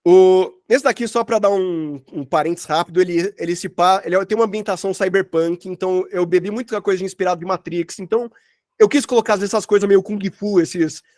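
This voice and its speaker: A man's voice: high voice, a little fast, darkish tone, no room sound, clean studio sound. A Brazilian man teaching an informal online sound design workshop, explaining examples in a casual, rambling, slangy way. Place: at home, online session.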